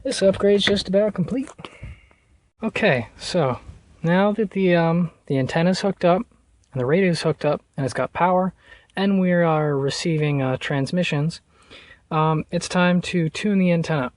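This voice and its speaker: A man with a slightly high voice walks through a DIY installation. He talks informally and haltingly, thinking aloud as he explains each step. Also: dry recording. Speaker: vlogger showing a car radio install. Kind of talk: casual hands-on how-to explanation.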